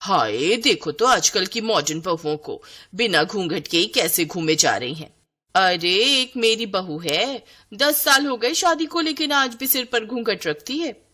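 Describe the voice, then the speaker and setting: A gossipy, disapproving neighbourhood woman from a cartoon tale, as a woman narrator plays her. She tuts and complains with a widely swinging pitch. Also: expressive, a little slow, sharp tone. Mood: disapproving gossip.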